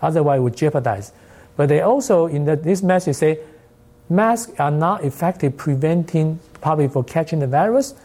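Mellow-voiced lecturer with a Taiwanese accent. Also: medium pitch, animated, moderate pace. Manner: non-native English with loose grammar, rhetorical questions. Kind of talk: informal academic presentation.

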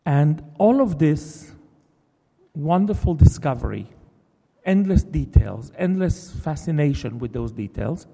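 A man's voice: on the high side, animated, creaky, dark tone, breathy edge. A man whose first language is Hebrew, a novelist giving a thoughtful talk in English and often restarting his sentences. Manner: thoughtful, halting, with many restarts. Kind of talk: reflective public talk. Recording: long reverb of a hall.

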